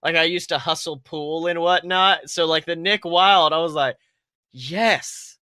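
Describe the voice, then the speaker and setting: Bright, high-voiced young man, animated and profane. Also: a little slow, dry recording, studio quality. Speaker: podcast co-host. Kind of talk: casual comedic chat.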